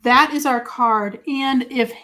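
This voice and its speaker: Upbeat Boston woman hosting a live papercraft stream, gushing and chatty, voice a touch high. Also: slow pace, bright, forceful tone. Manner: bubbly, gushing, talks to viewers by name. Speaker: craft show host. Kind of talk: live crafting demo, chatty.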